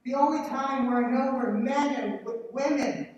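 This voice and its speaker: A woman playing a cheerful, warm-hearted young man, an earnest nephew in a radio play. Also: sits high, moderate pace, dull audio, natural voice. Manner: earnest, pleading. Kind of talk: live radio play acting. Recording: very echoey hall, distant microphone.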